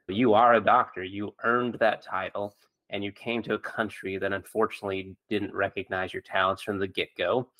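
A man's voice: lowish voice, level tone, normal speed, bright, forceful tone, low-quality recording. A man speaking as a podcast host and doctor, interviewing in a conversational style.

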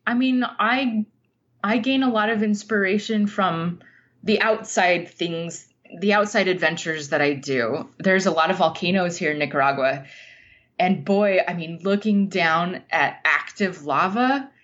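Female interview guest, slightly low voice, unhurried and earnest, reflective storyteller, dull lo-fi sound. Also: a bit bright. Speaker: podcast guest, writer.

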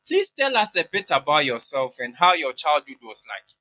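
A man's voice: fairly high pitch, very animated, moderate pace, pushed and bright. A man hosting a podcast, introducing his guest and asking interview questions in a polite, encouraging manner.

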